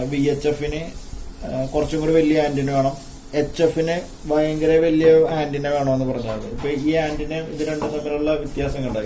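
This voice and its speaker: A man giving an informal hands-on gadget demonstration, haltingly and repeating his phrases. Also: on the high side, warm tone, a little hiss or hum, poor audio. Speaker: tech video presenter.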